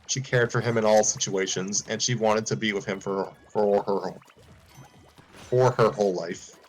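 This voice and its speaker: A man reads a fan story aloud in a casual way, often tripping over words and starting lines again. His voice sits low with a husky grain. Soft music plays faintly behind him. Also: fairly even intonation, moderate pace, rough recording. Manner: frequent stumbles and restarts. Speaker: streamer reading fan fiction aloud.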